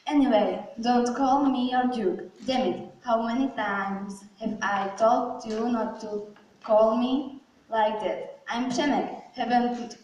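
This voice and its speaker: A woman's voice: a little high, slow, a little mellow. A woman playing a grumbling, blunt former plowman turned duke in an amateur puppet show. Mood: irritated. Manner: irritable, blunt complaining. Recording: echoey hall, distant mic, background noise.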